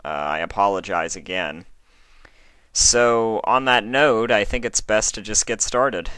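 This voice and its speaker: A man with a low, clear voice and a bright edge, introducing a fan fiction reading. He speaks informally and a little hesitantly, like a casual channel host. The sound is dry and close. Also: a little slow, dry recording. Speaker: fan fiction reader hosting own channel.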